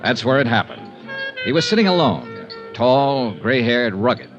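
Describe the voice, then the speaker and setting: An actor playing a tough, wry insurance investigator in a 1950s radio drama, with a clipped, hard-boiled delivery. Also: male voice, mid-range voice, huge pitch swings, measured pace, creaky, exaggerated voice. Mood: wistful, reflective. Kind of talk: 1950s radio detective drama, first-person narration. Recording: muffled 1950s radio recording with music.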